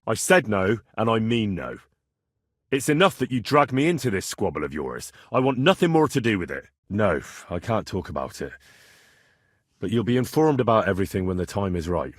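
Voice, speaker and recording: A man's voice: fairly low pitch, no room sound, studio quality. An actor playing a plain-spoken, earnest and sometimes cheeky young Bohemian swordsman in a medieval video game. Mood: firm, irritated. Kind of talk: video game voice lines, naturalistic dramatic acting.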